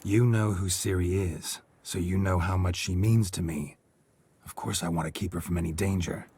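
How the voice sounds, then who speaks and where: An actor playing a world-weary male monster hunter in a video game, speaking in a terse, dry, flat way. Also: sits low, moderate pace, slightly rough. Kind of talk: video game cutscene dialogue.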